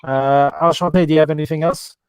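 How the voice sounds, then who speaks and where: Man, Irish accent, slightly high voice, dry close sound, hesitant host with lots of uhs, livestream chat. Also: steady tone, fast, clear, resonant tone, no echo. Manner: hesitant, many fillers and restarts. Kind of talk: live chat show, reading viewer questions.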